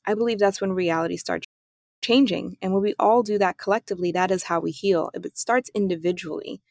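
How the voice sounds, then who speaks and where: A woman from Germany hosting her own podcast, talking through her thoughts in a calm, reflective solo monologue. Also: fairly low pitch, brisk, gravelly, dry recording, studio quality. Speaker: podcast host.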